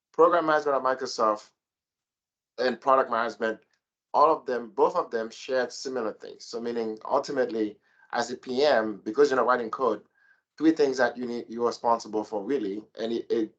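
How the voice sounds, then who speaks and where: A man's voice: medium pitch, a bit bright, lo-fi sound. A man who works as a program manager, giving a structured, step-by-step explanation on a panel over a video call.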